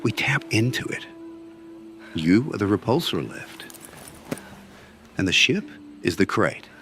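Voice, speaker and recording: A man playing a calm, wise older Jedi mentor in a fan audio drama, speaking in a measured, instructive way. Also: lowish voice. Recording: music under the voice.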